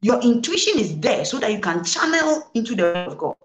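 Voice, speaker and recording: A Ghanaian woman reverend speaks with earnest conviction, moving between English and Twi. Her pitch rises and falls in lively swings as she repeats phrases for weight. The studio recording carries a noticeable roomy echo. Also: a little fast. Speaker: reverend guest. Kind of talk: preaching interview answers. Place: studio set, indoors.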